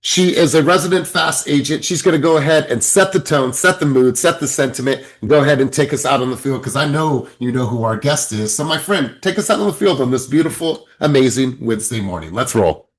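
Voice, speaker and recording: A man's voice: mid-range voice, expressive, fairly quick. Upbeat man hosting a video coaching call. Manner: upbeat, hyping the audience with repeated phrases. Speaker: sales coach and session host. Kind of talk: energetic group coaching call.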